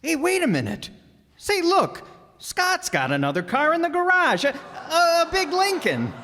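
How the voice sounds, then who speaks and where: Male actor as dim wisecracking pal, very high swooping voice, old-time radio comedy, noisy hall. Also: wildly swooping pitch, measured pace, sharp tone, heavily acted. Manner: blithe wisecracking, folksy slangy talk. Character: dim, unbothered wisecracking sidekick, blithely irresponsible pal. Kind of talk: 1940s radio sitcom acting. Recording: reverberant hall, noisy stage recording.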